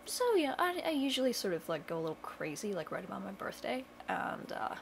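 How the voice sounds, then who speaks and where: A woman's voice: medium pitch, very animated, brisk, gravelly, a little hiss or hum. A young woman chatting casually to camera at home, rambling with lots of fillers and dry self-mocking humor. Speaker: vlogger. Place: home bedroom.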